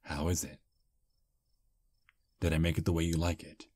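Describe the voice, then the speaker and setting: A man playing a deep, charming vampire. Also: moderate pace, no echo. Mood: smooth flirting. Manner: soft, flattering, gentlemanly. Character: charming polite male vampire on a first date. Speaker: voice actor. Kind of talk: ASMR roleplay.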